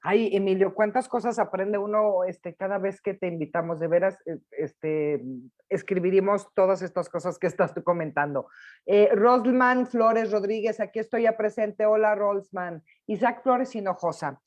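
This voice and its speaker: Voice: mid-range voice, moderate pace, a little mellow, highs cut off. A host, chatting and reading out viewer questions on a live show.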